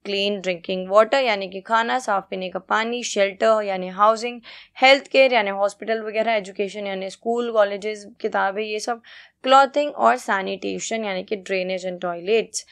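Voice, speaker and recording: A woman's voice: medium pitch, normal speed, vocal fry, fairly bright tone. A woman with an Indian accent teaching an exam lesson, reading out points and explaining them conversationally with frequent check-in tags and rhetorical questions. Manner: mixes Hindi and English. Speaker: teacher, exam-prep tutor.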